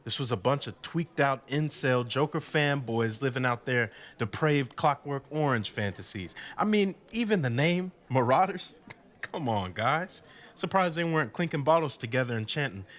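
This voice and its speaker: A man's voice: mid-range voice, expressive, normal speed, a bit bright, close to an everyday voice. An actor playing a wry, self-deprecating husband being interviewed in a radio drama, telling his story in a sardonic, conversational way. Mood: scornful. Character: wry, indignant husband recounting blackout night, interviewee.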